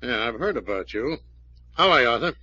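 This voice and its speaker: An actor playing a skeptical police captain in a 1940s radio serial, authoritative and dryly sarcastic. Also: male voice, mid-range voice, lively intonation, brisk, bright, forceful tone, breathy edge. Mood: sardonic. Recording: muffled old-time radio recording.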